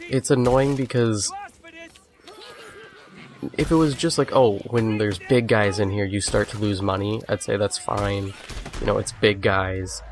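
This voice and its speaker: A man with a cold, a little sniffly, giving relaxed, rambling commentary over a game walkthrough. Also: on the low side, unhurried, pauses often. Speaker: gamer doing a walkthrough. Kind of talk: casual gameplay commentary.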